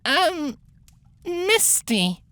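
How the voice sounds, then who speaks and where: A nervous young pony sorceress, speaks in a very high, thin voice. She intones lofty archaic words but keeps stumbling. Her voice sounds slightly distant. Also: big pitch swings, drawn-out words, pushed and bright, dry recording, full character voice. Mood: flustered. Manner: grand archaic incantation, faltering with fillers. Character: young unicorn mare summoning spirits, nervous, archaic.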